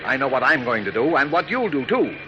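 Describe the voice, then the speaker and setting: A man's voice: mid-range voice, sing-song, brisk, dull audio, lightly acted. A man playing a hot-tempered, stubborn middle-aged newspaper editor in 1940s radio style, blustering and emphatic. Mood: defiant. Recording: noisy old radio recording.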